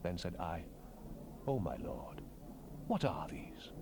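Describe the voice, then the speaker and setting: A man's voice: low voice, very animated, slow pace, vocal fry, full character voice. A man acting a fervent Bible prophet in a dramatized King James reading, solemn and declamatory, with archaic phrasing. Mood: hushed awe. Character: fervent male Bible prophet proclaiming visions and God's words. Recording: noisy unprocessed 1970s cassette rip.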